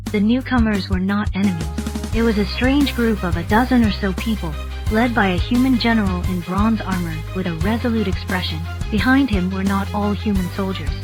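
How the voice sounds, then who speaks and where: A woman narrating a scripted story in the style of an audiobook. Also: mid-range voice. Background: faint music.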